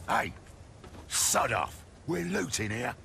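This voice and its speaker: An actor playing a scruffy street looter in a fantasy video game, sly and cocky, with slangy talk and a gravelly voice. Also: male voice, medium pitch, very animated, slow, pushed and bright, a little hiss or hum, exaggerated voice. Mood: cocky, jeering.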